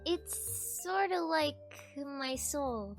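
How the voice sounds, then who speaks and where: A woman's voice: high voice, expressive, drawn-out words, soft background music, voice pushed up, exaggerated voice. A woman voicing a drunk, sulky fifteen-year-old girl with slurred, defiant speech in a fan fiction reading. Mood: drunk, hazy.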